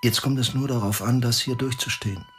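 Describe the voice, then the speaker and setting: An actor in an audio drama playing a gentle condemned prisoner, a husband and father speaking in a subdued, halting, earnest way. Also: mid-range voice, fairly even intonation, soft background music, natural voice. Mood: subdued, tender. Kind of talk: German audio drama acting.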